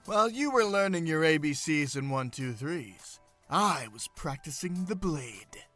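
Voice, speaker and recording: A woman's voice: sits low, expressive, unhurried, a bit bright, soft background music. A woman voicing a laid-back, roguish young sword-fighting student in an audio drama, flippant and dry. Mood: dryly evasive. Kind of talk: audio drama character banter.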